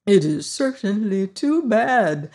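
A reader voicing a tender-hearted, grateful tin man in a slow, earnest way. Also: medium pitch, sing-song, darkish tone, pitched above the performer's own voice, full character voice. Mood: gentle.

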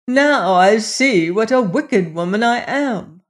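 A woman's voice: mid-range voice, slow, close to an everyday voice. A woman voicing a guilt-stricken, fearful wicked queen and stepmother in a storybook, moaning and lamenting in exclamations.

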